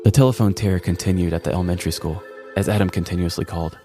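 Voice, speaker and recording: A man's voice: low-pitched, steady tone, racing, music in the background. A man reading a scripted comic story as a podcast storyteller.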